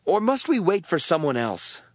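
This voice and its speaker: An earnest male messenger in a scripture audio drama. His high voice rises and falls animatedly as he questions, in a dry, close recording. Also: very animated, moderate pace, no room sound. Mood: earnest questioning.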